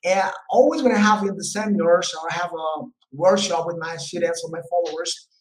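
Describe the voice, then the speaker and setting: A man's voice: on the high side, fairly even intonation, a little fast. A man from Colombia with a Colombian Spanish accent, a guest English teacher talking casually with fillers and restarts. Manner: loose, repetitive, many fillers and restarts. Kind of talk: casual interview talk. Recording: roomy echo.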